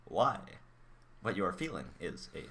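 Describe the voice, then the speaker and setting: Man playing a calm, low-pitched robot. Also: gravelly, full character voice. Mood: calm, matter-of-fact. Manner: measured, matter-of-fact, formal. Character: mind-reading male robot, calm, blunt, curious about human emotions. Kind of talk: radio play acting.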